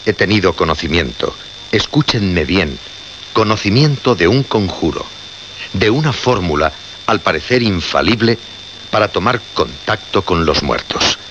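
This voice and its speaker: Solemn male horror-show host, deliberate and theatrical, on a muffled, noisy radio recording. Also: sits low, animated. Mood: solemn, ominous. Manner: deliberate, dramatic pauses and repetitions. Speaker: radio actor. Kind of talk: radio horror drama acting.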